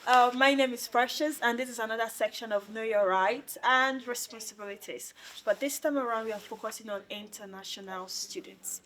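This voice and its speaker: A woman's voice: on the high side, normal speed, hardly any pauses, fairly bright tone, slight background noise. A young woman hosting an informal interview as a student union president, chatty and repeating phrases for emphasis. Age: young adult.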